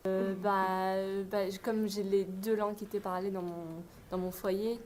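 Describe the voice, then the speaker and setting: A woman's voice: mid-range voice, level tone, normal speed, a little mellow, a little hiss or hum. A woman student from France reflecting on her languages in an interview, thoughtful and full of fillers. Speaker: student guest.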